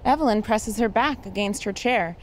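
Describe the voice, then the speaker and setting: A woman's voice: medium pitch, moderate pace, slight background noise, rough recording. A woman reading scripted narration and stage directions for an audio drama.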